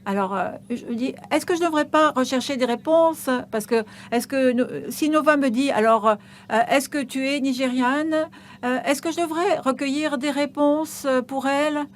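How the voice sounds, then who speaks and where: A woman interpreting a stage conversation as it happens, her voice sitting a little high. She halts often, filling pauses and restarting phrases, and a faint noise runs underneath. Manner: halting, with many hesitations and restarts.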